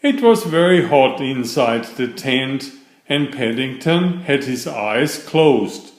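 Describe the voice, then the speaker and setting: Slow, lively male storybook reader. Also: medium pitch. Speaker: children's story reader and author. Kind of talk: scripted storybook narration, read aloud. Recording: roomy, echoing sound.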